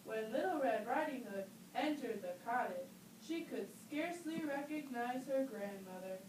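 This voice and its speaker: A woman reads scripted narration for a children's puppet show. Her voice is high. She is recorded at a distance in a roomy space with faint noise. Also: lively intonation, normal speed.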